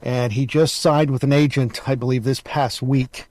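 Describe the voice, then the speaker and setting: A man's voice: medium pitch, fairly even intonation, normal speed, a little mellow. A middle-aged man hosting a casual, conversational interview. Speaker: interview host.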